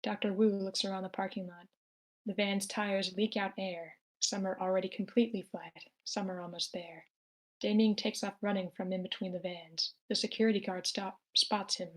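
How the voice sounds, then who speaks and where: A woman's voice: mid-range voice, flat delivery, moderate pace, rough recording. A woman narrator reading screenplay stage directions aloud.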